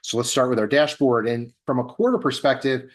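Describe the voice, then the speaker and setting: A man hosting a market update, presenting data in a measured, analyst-like business delivery that stresses the figures. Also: mid-range voice. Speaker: host.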